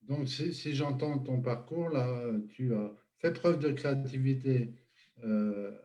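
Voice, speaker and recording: A man hosting an interview, asking questions and summing up in a measured way with fillers. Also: medium pitch, warm tone. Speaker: host.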